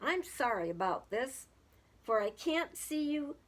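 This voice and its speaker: A woman's voice: fairly high pitch, sing-song, measured pace, exaggerated voice. A woman voicing a polite, insistent, hearty male moose who calls out in a children's storybook. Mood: apologetic, insistent. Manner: calls out loudly, exclaims. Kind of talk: children's storybook read-aloud.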